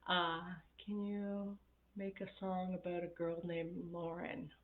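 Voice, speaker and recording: A woman coaching on a live stream, chatty and laughing often, her voice raspy from a recent illness. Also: lowish voice, flat delivery, unhurried, a little hiss or hum. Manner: chatty, laughs often, reads viewer comments. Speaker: happiness coach. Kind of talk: casual live-stream talk. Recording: tinny phone-like sound in an echoey room.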